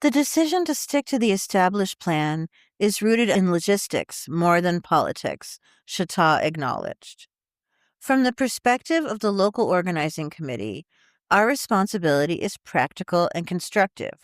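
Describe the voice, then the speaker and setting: A woman's voice: fairly low pitch, sing-song, moderate pace, dry recording, clean studio sound. A woman journalist reading a written article aloud as scripted narration.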